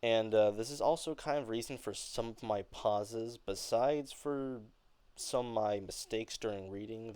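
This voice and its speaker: A young man giving an informal explanation in a hesitant, halting way, often rephrasing. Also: on the low side, darkish tone, smooth, no echo. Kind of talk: informal explanation of an acting reading.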